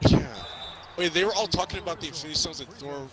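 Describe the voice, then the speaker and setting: A man's voice: on the high side, quick pace. Casual man chatting over crowd noise. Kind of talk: casual movie recap chat while playing a video game. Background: crowd noise and basketball bouncing from a game.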